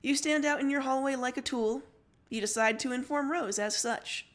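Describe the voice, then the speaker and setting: Narrator: woman, fairly high-pitched, expressive read-aloud fiction delivery. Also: moderate pace. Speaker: story narrator. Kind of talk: expressive fiction reading on a livestream.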